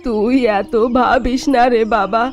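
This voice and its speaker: A woman voicing a worried village mother in a cartoon, talking in a soft, pleading way. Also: on the high side, steady tone, measured pace. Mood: gentle. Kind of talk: Bengali cartoon voice acting. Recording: music under the voice.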